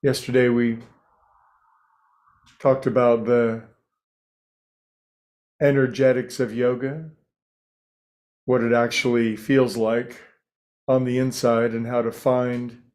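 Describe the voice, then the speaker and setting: A man leading a guided meditation as a teacher, speaking in a gentle, soothing way with frequent pauses. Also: mid-range voice, flat delivery.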